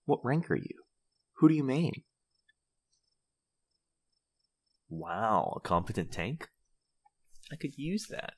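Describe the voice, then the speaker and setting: A man's voice: medium pitch, big pitch swings, unhurried, slightly rough. A man playing a grumpy, easily flustered vampire in a comedy audio roleplay, sarcastic and huffy in manner. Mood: friendly, awkward. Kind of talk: comedy ASMR roleplay acting.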